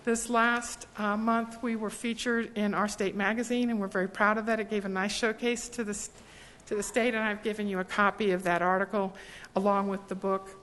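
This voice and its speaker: A woman giving formal thanks at a public meeting, speaking warmly with frequent ums and small restarts. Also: medium pitch, little pitch movement, a little fast. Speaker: presenter thanking volunteers. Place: large meeting hall. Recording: long hall reverb.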